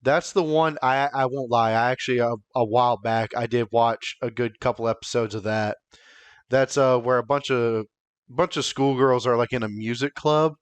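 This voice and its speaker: Clear-voiced man chatting on a podcast. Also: medium pitch, steady tone, moderate pace, dry recording. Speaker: podcast co-host. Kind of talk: casual podcast chat among friends.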